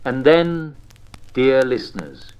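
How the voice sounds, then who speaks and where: A man playing an avuncular old-time radio propaganda host, genteel and smugly patriotic, with theatrical phrasing and deliberate pauses. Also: mid-range voice, very animated, a little mellow, clean tone, poor audio. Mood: smug, soothing. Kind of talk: vintage radio propaganda narration.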